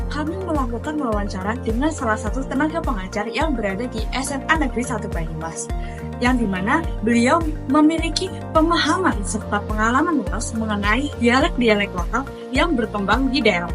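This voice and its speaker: A young woman with a fairly high voice presents a formal, scripted introduction as a student host. She sounds recited, and music plays clearly behind her. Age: young adult.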